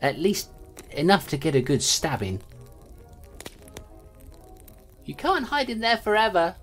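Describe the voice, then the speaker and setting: Audio drama lead: streetwise clawed creature detective, low register, swooping intonation, terse and self-deprecating. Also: female voice, wildly swooping pitch, normal speed, soft background music. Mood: tense, menacing. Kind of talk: dramatic first-person storytelling in an audio drama.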